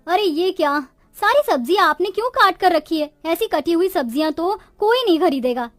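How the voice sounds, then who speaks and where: Poor village housewife for a cartoon moral tale, played by a woman: high voice, dramatic, complaining, close dry recording. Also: lively intonation, normal speed, no echo. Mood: indignant. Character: village women of a poor family, resourceful daughters-in-law and their mother-in-law. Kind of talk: Hindi cartoon moral-story dialogue.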